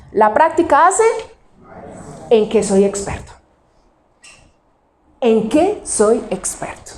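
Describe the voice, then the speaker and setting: A woman pastor from Colombia with a Cali accent, preaching a sermon. She puts questions to the congregation and answers them herself, and she slows down to stress single words. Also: fairly high pitch, darkish tone, roomy sound, a little hiss or hum. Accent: Cali Colombian Spanish.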